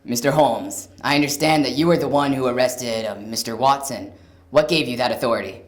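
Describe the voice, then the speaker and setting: A man's voice: medium pitch, brisk, close to an everyday voice. A man acting a formal, questioning courtroom lawyer in an amateur audio drama. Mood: formal, probing.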